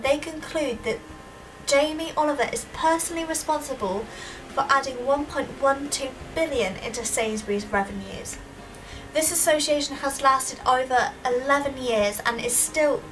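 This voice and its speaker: High-pitched young woman, distant mic. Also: fairly bright tone. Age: young adult. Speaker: university student presenter. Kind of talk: prepared student presentation. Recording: distant microphone with audible background noise.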